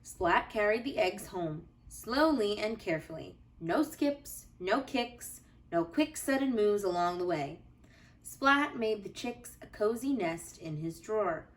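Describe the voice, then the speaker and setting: A woman teacher reading a children's storybook aloud with deliberate, expressive phrasing for young listeners. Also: medium pitch, far from the mic.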